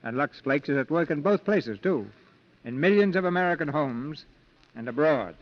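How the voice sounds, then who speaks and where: A man hosting a 1940s radio theater, giving formal scripted narration in a polished, declamatory way. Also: medium pitch. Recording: dull vintage 1940s radio with music.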